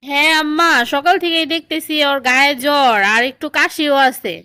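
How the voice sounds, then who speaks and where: A woman's voice: high-pitched, fairly even intonation, moderate pace, pushed and bright, no echo, clean studio sound. A woman playing a dutiful young daughter-in-law in a comedy cartoon, deferential, with a Bangladeshi accent. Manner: deferential, explaining. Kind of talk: comedy cartoon dialogue in colloquial dialect.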